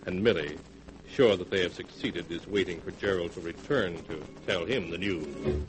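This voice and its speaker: A man's voice: low-pitched, moderate pace, over music, low-quality recording. A male announcer giving solemn, ominous narration for a 1940s radio drama. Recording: hissy 1940s radio broadcast.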